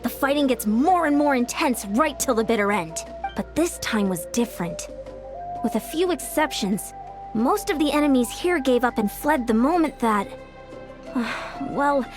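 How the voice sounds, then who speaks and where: A woman voicing a cautious, level-headed commander who talks in a measured way. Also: sits high, animated. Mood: wary. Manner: measured, wary. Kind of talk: video game voice acting. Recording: game dialogue over music.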